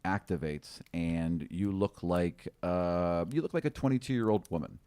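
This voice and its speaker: A man's voice: deep voice, measured pace, warm tone, smooth, dry recording. A man in his forties narrating an improvised tabletop game as game master, close on a studio microphone. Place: small room, indoors. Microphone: close studio microphone on stand.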